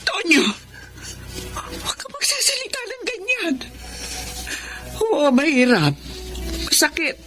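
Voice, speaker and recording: A woman's voice: very high voice, sing-song, slow pace, long pauses, a bit bright, soft background music, full character voice. A woman playing a sick, worried but devout and resigned older mother in a Filipino radio drama. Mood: anguished.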